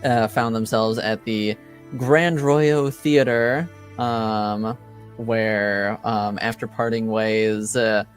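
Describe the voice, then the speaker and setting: Slow-paced man hosting a tabletop game. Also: fairly low pitch, steady tone, soft background music. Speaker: game master and host. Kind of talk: casual welcome and recap for a tabletop game.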